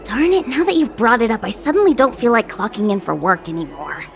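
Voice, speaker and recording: A perky young game heroine, chatting in a high voice with breezy, casual ease. She sounds sociable and a little lazy, with expressive phrasing over soft music. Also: brisk, gravelly. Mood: breezy, chatty. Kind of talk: video game voice acting.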